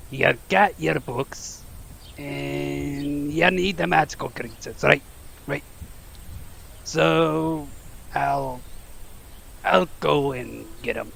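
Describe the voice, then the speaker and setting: A big rustic gamekeeper, heavily gravelled and unhurried. He is folksy, protective and eager in this audio drama, with a bright, forceful edge to his voice. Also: medium pitch, a little hiss or hum, exaggerated voice. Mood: nervous, hesitant. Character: big hearty gruff gamekeeper teaching class, eager, protective.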